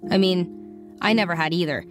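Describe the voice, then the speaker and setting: A woman's voice: fairly low pitch, moderate pace, natural voice. Bookish teenage girl over music, acted by a woman. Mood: wry, reflective. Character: teenage schoolgirl narrator, bookish and wry. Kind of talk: scripted first-person audio drama narration.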